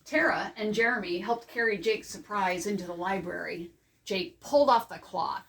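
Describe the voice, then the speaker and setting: An older woman reading a children's picture book aloud as a librarian, in a measured, careful storytelling manner. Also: medium pitch, fairly bright tone. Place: indoors before a green backdrop. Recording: distant microphone.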